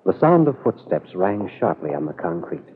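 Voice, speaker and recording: A man with an American accent narrating a radio drama in a measured, dramatic storytelling manner, on a muffled vintage recording. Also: sits low, sing-song, breathy edge.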